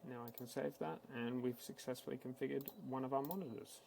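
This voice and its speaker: A man giving a casual, matter-of-fact, step-by-step software walkthrough, speaking unscripted like a tech support instructor. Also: mid-range voice, steady tone, brisk, vocal fry, a little hiss or hum, low-quality recording. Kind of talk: screen-recorded software tutorial, unscripted walkthrough.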